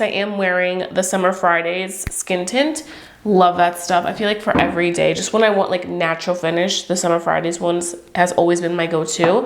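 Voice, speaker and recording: A young woman reviewing beauty products to camera in a chatty, upbeat way, her voice stuffy and congested from a cold. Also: fairly low pitch. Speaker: beauty vlogger.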